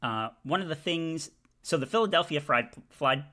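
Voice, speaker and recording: A man giving casual commentary as he ranks flags, talking in a halting way with many filler sounds and restarts. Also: on the high side, lively intonation, pushed and bright. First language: English. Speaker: video creator ranking flags.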